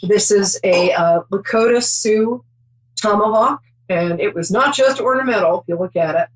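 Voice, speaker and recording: A woman's voice: medium pitch, moderate pace, rough recording. A middle-aged woman hosting a call-in psychic show, chatty and reassuring, rambling with many ums.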